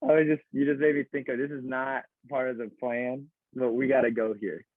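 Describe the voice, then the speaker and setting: A young man co-hosting a podcast with his father, quick and teasing, often laughing. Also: mid-range voice, flat delivery, dry recording. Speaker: podcast co-host, son. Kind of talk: casual chat. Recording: tinny phone-like sound, dry room.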